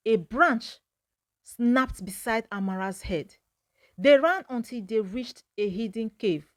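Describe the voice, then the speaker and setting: A woman narrates a folktale at a slow, measured pace. Her voice sits fairly high and the sound is dry and close, with a dramatic storytelling delivery. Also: no echo.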